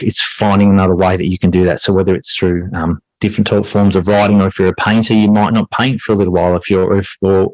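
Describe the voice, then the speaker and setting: A man's voice: low voice, no echo, poor audio. A man speaking as a guest in a conversational podcast interview, hesitant and often repeating his words as he goes. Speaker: high school English teacher.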